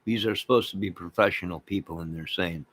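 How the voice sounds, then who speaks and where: Low-voiced American man on an emphatic rant. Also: fairly quick, a bit bright, dry recording. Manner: emphatic, repeats phrases, mocks opposing views sarcastically. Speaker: online video channel host. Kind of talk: opinionated livestream rant to chat viewers.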